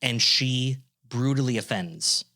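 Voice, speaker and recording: A man in his twenties co-hosting a podcast, teasing his co-host in casual banter and often breaking into high laughter. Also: animated, slow pace, creaky, pushed and bright. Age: 20s.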